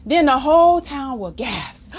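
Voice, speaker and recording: Animated, expressive woman reading a storybook. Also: very high-pitched, slow. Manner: expressive, theatrical, chatty asides to young listeners. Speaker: storybook reader. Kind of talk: animated read-aloud of a children's rhyming book.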